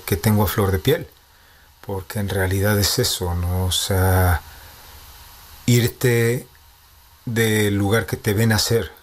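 A man in his thirties with a Mexico City accent speaks in a low, level voice that hardly rises or falls. He takes his time, weighing each phrase and thinking aloud as he answers an interviewer. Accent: Mexico City Spanish. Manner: measured, reflective, thinking aloud. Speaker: composer and postdoctoral researcher.

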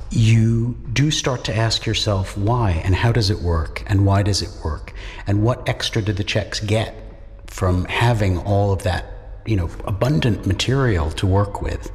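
A middle-aged man with a fairly low voice answers interview questions thoughtfully. He is articulate and reflective, with a modest academic manner. The sound has the long reverb of a hall. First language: English. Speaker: university linguistics lecturer.

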